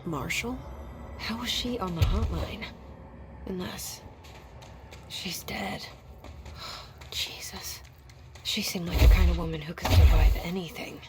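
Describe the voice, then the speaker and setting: A woman voicing a wry, guarded young explorer in a video game, musing to herself with an understated, deadpan delivery. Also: fairly low pitch, slow, long pauses, in a whisper. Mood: uneasy. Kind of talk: video game voice acting, inner monologue. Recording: loud music behind the voice.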